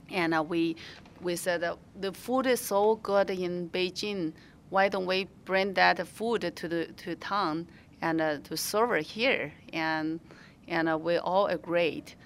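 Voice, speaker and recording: A restaurant owner, a woman whose first language is Mandarin, speaks English with a clear Mandarin accent. She talks slowly, stopping often and restarting her sentences with many fillers. Her voice sits a little low, and a faint rumble runs under the recording. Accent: Mandarin Chinese. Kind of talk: interview answers.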